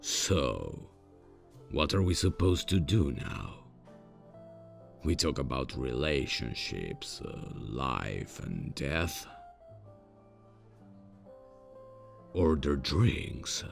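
A man playing a stiff, awkwardly polite demon from hell in an ASMR comedy roleplay, speaking formally and haltingly in a deadpan way. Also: soft background music, full character voice. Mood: puzzled, curious. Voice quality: very deep, gravelly. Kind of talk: ASMR roleplay, scripted comic monologue.

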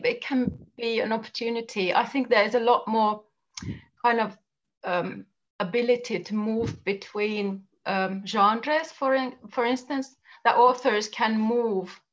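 A woman author, speaking in a thoughtful, measured way on a video call. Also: fairly high pitch. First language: Finnish. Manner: thoughtful, measured, soft trailing ends. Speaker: guest author. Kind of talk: video call panel discussion. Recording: dull lo-fi call audio.

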